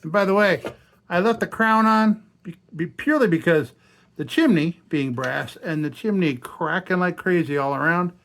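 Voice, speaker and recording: A hoarse man talking casually to himself as he restores an old lantern at a workshop bench, thinking aloud. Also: sits high, normal speed, a bit bright, a little hiss or hum. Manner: chatty, thinking aloud while working. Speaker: restoration channel host.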